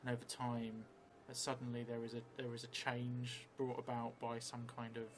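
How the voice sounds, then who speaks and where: Middle-aged male solo role-player, slow and halting storytelling, flat delivery, noticeable background noise. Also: mid-range voice. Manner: halting, thinking aloud, slowly dictating as he writes. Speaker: solo role-playing streamer. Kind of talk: improvised storytelling while writing and playing a solo tabletop game. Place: indoor theatre room, seated at a table. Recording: clearly noisy room recording.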